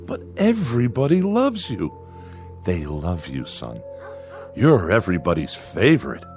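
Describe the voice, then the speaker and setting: Nervous folksy father, pitch swinging widely. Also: wildly swooping pitch, darkish tone, soft background music, heavily acted. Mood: anxious, soothing. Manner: folksy, rustic grammar; nervous, forced cheerfulness with halting stammers. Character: anxious small-town father placating his feared son. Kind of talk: radio drama acting.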